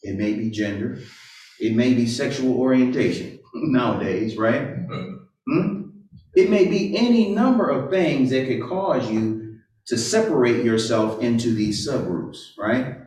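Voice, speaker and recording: A man preaches to a live group and keeps firing off rhetorical questions, tagging his points with "right?". His voice is at a middle pitch and sounds distant from the microphone. Strong room echo surrounds everything he says. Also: animated, measured pace, a little mellow. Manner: asks rhetorical questions and tags sentences with "right?". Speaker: pastor or Bible teacher. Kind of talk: interactive sermon. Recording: roomy echo, far from the mic.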